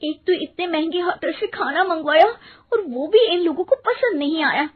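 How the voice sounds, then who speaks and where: A young daughter-in-law from a family storybook drama, played by a woman. Her high voice swings widely, eager, exclamatory and quick to fluster, on a thin phone-like recording. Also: animated. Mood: exasperated. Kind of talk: acted moral story dialogue. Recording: muffled, phone-like narrow band.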